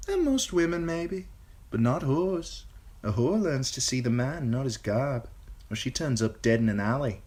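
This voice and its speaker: A man's voice: medium pitch, expressive, moderate pace, darkish tone, pitched above the performer's own voice, natural voice. A man voicing a teasing, blunt, streetwise young woman with a raised, lighter voice.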